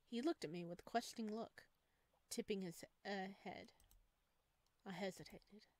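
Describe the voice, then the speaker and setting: A woman's voice: medium pitch, normal speed, darkish tone, no echo. A woman reading visual novel narration aloud expressively, as a gaming video host.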